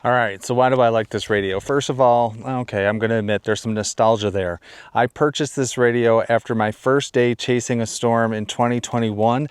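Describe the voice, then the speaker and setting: A man's voice: mid-range voice, steady tone, normal speed, clean tone, no echo. A man hosting a video, giving a casual, plainspoken product review and explaining things as he goes. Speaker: video host.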